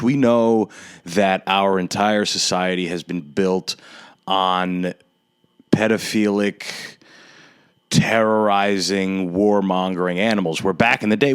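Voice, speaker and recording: A man in his twenties hosting a solo podcast, ranting in a sardonic, drawn-out, emphatic way. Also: on the low side, smooth, no room sound, clean studio sound. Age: 20s. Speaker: podcast host.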